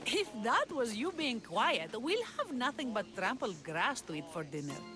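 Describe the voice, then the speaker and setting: Kira, a fierce rebel leader. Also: female voice, on the high side, big pitch swings, normal speed, over music. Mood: teasing. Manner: sharp, teasing, commanding. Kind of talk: video game cutscene acting.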